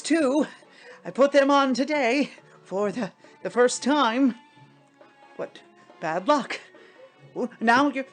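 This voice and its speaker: A woman voicing a fussy, bewildered, stammering gentleman ghost in a storybook reading. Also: sits high, voice pushed up. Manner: stammering, flustered, prone to exclaim. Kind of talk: theatrical storybook character voice.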